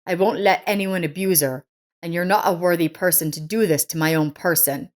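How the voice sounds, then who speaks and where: A woman's voice: fairly low pitch, fairly even intonation, fairly quick, natural voice. Woman reading as an earnest, resolute grown son. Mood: firm, hurt. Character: resolute grown son, protective of his mother.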